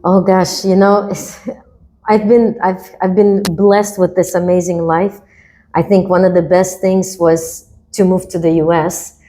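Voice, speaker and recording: A woman's voice: on the low side, little pitch movement, unhurried, warm tone, rough recording. A woman from Kazakhstan, a hot yoga teacher, chatting upbeat in an interview and laughing often. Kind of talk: casual interview chat.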